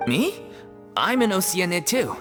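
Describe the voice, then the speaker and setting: A man's voice: high-pitched, a little slow, over music. A man voicing an easygoing, food-loving chubby troupe actor in a video game, with a breezy manner. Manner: breezy, animated.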